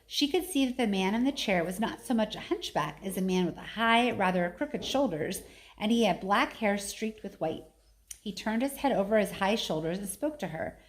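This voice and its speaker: A woman's voice: medium pitch, animated, normal speed, slight background noise. A middle-aged woman reading a story aloud expressively, slipping into casual, conversational talk. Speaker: story reader.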